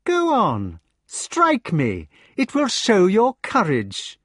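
Man as icy, sneering Mordred, pitched high. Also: sing-song, slow pace, no echo, studio quality, heavily acted. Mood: cold, sneering. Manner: icy, sardonic, nagging. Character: Mordred, cold sneering humpbacked knight, bitter and defiant.

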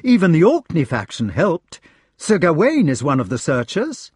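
A man voicing an excited, affectionate storybook knight, gushing and exclaiming as he speaks. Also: high voice, unhurried, husky, no echo, clean studio sound, pitched above the performer's own voice, heavily acted. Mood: eager, questioning. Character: excited, affectionate knight searching for his lost friend. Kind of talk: storybook character voice.

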